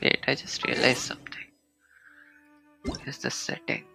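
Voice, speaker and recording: A man's voice: normal speed, bright, forceful tone, faint music behind. A man with an Indian accent chatting casually as he streams a game, slipping between English and Hindi. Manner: switches between English and Hindi mid-sentence. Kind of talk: casual livestream gaming chat.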